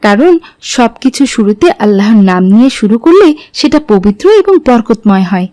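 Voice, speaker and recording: A woman playing a devout, gentle mother in an Islamic cartoon, speaking in a measured, instructive way. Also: medium pitch, sing-song, close to an everyday voice. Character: devout, gentle mother guiding her children.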